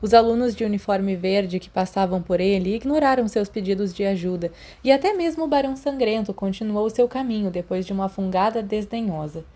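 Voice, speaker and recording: A woman's voice: mid-range voice, fairly quick, hardly any pauses, dark tone. A woman with a Brazilian accent reading a story aloud as scripted narration. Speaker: audiobook narrator.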